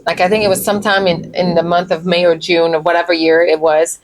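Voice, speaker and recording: A woman's voice: on the low side, level tone, fast, rough recording. A middle-aged woman co-hosting a casual podcast, chatting and telling family stories. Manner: chatty storytelling, acts out quoted voices. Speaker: podcast co-host, mother. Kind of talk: casual chat.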